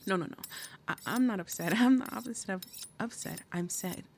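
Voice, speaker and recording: A woman voicing a big, possessive demon mother in an ASMR roleplay, falsely sweet and threatening, speaking deliberately and dropping into breathy murmurs. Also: mid-range voice, a little mellow. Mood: tense, interrogating. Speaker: roleplay audio performer. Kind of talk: ASMR roleplay, acted monologue.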